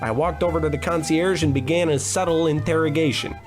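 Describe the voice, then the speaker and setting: An actor playing a wisecracking, cheap private detective with a deadpan, hard-boiled delivery in 1940s radio noir parody. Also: male voice, a little high, brisk, music in the background, lightly acted. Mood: narrating. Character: wisecracking, down-on-his-luck male private detective.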